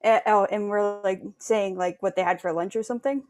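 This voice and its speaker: A woman's voice: medium pitch, steady tone, fairly quick, a little mellow. A woman taking part in a workshop, chatting casually and tentatively, peppering her talk with slangy filler. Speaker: workshop participant. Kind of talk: casual chat.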